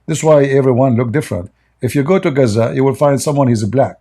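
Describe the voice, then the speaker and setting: Emphatic man with a dark voice. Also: medium pitch, very fast. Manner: emphatic, repeats key phrases, many fillers. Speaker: debate host. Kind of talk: live online religious debate.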